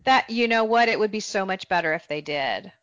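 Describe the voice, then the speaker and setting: A woman co-hosting a casual podcast as a travel agent, chatty and animated, laughing easily. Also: medium pitch, fairly quick, no room sound. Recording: dull, dry podcast audio.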